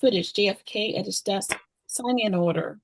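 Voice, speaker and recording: A woman's voice: medium pitch, normal speed, creaky, no echo, poor audio. A woman narrating the stage directions of a screenplay in a scripted read-through.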